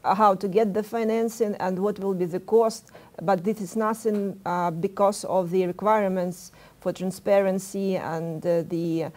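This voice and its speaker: A woman moderating a business panel, asking questions in halting speech with many fillers. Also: medium pitch, fairly even intonation, darkish tone.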